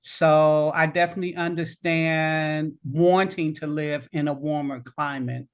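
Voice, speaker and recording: A woman from Indiana hosting a podcast interview, reading a scripted introduction in a measured, welcoming way. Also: sits low, fairly even intonation. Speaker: podcast host.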